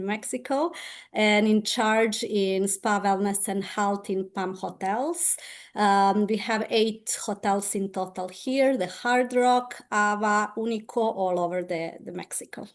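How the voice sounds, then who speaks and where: A woman with a Serbian accent speaks as a guest in a webinar over a video call. Her manner is formal and slightly halting. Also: medium pitch. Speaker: guest, hotel spa director. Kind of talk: webinar interview.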